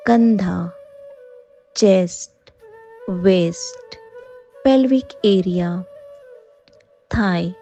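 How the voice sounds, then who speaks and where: A woman with an Indian accent leading a guided yoga nidra meditation in a soothing, unhurried manner, leaving long pauses between phrases. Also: mid-range voice, creaky, dark tone.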